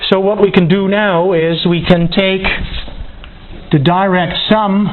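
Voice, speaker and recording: A man giving a mathematics lecture, working step by step in a halting, self-correcting way. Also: high-pitched, steady tone. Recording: thin phone-like band, clearly noisy, clicks.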